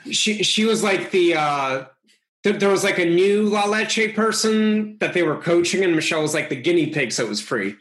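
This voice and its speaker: A man born in Russia talks as a comedy podcast guest. His voice sits a little high, and he rambles through his explanations before landing his jokes deadpan. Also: normal speed. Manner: rambling, deadpan joke delivery. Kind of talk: casual podcast banter.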